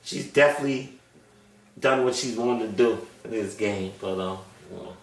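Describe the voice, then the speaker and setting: A man co-hosting a sports podcast, talking in a relaxed, knowledgeable way that often trails off. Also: fairly low pitch, moderate pace. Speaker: podcast co-host. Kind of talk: casual sports talk. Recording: echoey room, far from mic, faint music.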